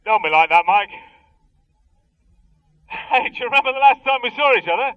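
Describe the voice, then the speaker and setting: High-pitched jaunty old pal on phone. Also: male voice, lively intonation, normal speed. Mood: cajoling. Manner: jaunty, familiar, teasing. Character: cheery cajoling ex-army pal phoning from abroad. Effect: telephone filter. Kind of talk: radio drama acting. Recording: muffled telephone-line sound.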